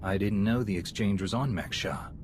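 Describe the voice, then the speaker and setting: A man's voice: lowish voice, moderate pace, noisy background, lightly acted. A man playing a firm, upright heroic commander in a video game, earnest and direct. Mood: businesslike. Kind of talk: video game cutscene acting. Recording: music behind the voice.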